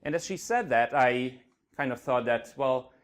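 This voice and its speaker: A man's voice: mid-range voice, lively intonation, moderate pace. A middle-aged man from Hungary with a slight Hungarian accent, giving an earnest conference talk with frequent fillers. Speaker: conference speaker. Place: conference venue stage.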